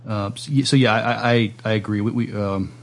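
A man's voice: on the low side, steady tone, normal speed. A man hosting a casual podcast interview, speaking in a hesitant, agreeable way. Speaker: podcast host.

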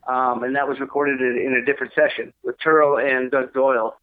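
A man's voice: medium pitch, flat delivery, a little fast, no room sound. A man recalls his band's early days in a loose, rambling manner, full of ums and uhs. His voice comes through a tinny phone line. The sound is dry and close. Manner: rambling, lots of fillers. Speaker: interview guest, musician. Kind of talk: casual interview.

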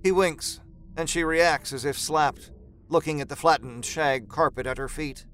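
A man's voice: on the high side, measured pace, fairly bright tone. A man narrating a scripted horror story in a dramatic storytelling style.

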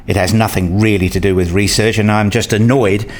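A man's voice: lowish voice, fairly even intonation, a little fast, close to an everyday voice. A man playing a curious, self-deprecating researcher and father in a scripted radio play, also narrating it. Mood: sheepish, annoyed.

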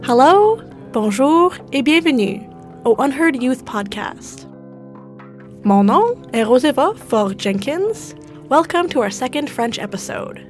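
Lively, high-voiced woman podcast host. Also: slow, faint music behind. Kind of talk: scripted bilingual narration.

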